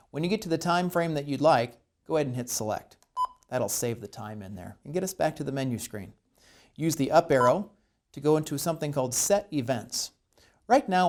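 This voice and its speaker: A man's voice: mid-range voice, heavy background noise. An American man presenting an instructional tutorial, explaining each step methodically and stressing the key points.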